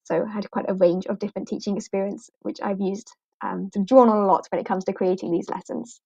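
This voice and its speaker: A British woman hosts an informal livestream for fellow English teachers, chatty and rambling, with fillers and little laughs. Her voice sits at a middle pitch. The sound is close, dry and a little dull, like home streaming audio. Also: fairly even intonation, fairly quick, vocal fry, warm tone, slightly rough, no echo. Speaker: online ESL teacher hosting a livestream. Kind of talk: informal live walkthrough.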